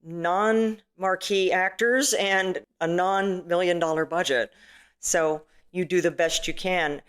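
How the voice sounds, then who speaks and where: A middle-aged woman with a booming, stage-trained voice, chatting casually as an interview guest with lots of "you know"s and restarts. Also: takes it slowly, smooth. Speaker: filmmaker and actress guest.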